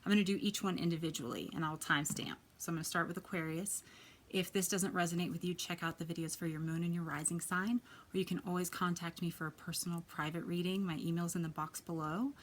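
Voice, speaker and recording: A woman in her thirties with a quiet, soft voice, chatting casually as she gives a tarot reading. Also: lowish voice, steady tone, fast, a little hiss or hum. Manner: chatty, lots of ums and likes. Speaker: tarot reader.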